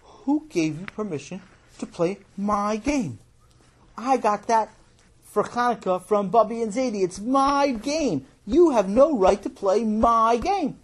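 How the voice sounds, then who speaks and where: A man plays a possessive little boy in a children's story, raised in pitch and husky. He is stubborn and indignant, whining his loud protests with big swings. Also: big pitch swings, unhurried, hardly any pauses, heavily acted.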